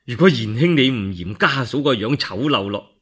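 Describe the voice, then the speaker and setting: An ingratiating male governor from a radio tale, acted by a male storyteller, speaks Cantonese-accented lines with flattering deference. He draws out his phrases with lively swings and a rough, husky edge. He is scheming and eager to please. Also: medium pitch, moderate pace, pitched below the performer's own voice. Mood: coaxing, obsequious.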